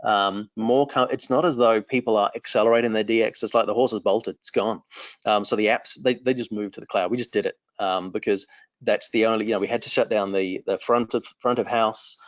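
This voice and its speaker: A New Zealand man in his forties talks with eager energy, often restarting his sentences. His voice sits fairly low. The audio is dry and a little dull, as if captured on a modest mic. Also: fairly even intonation, a little fast, no room sound. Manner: enthusiastic, rambling with frequent restarts. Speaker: company founder. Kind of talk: remote interview answers.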